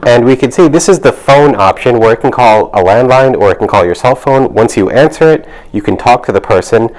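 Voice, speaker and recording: A man's voice: mid-range voice, animated, a little fast, darkish tone, poor audio. A man demonstrating a product, explaining it step by step in an instructional way.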